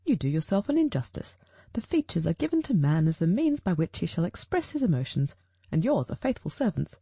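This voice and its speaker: A woman's voice: fairly low pitch, very animated, fairly quick, mellow, breathy edge, no echo, exaggerated voice. A woman reading the part of a shrewd, self-assured male detective in an audiobook, precise and formal as if teaching.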